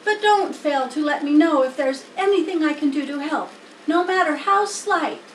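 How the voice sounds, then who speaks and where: A small, eager young pig from a storybook, played by a woman, pleads in a very high voice. He is anxious and earnest, and his pitch jumps about in a roomy recording with faint noise. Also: moderate pace, close to an everyday voice. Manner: pleading, earnest, full of questions. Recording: roomy, distant mic, faint background noise.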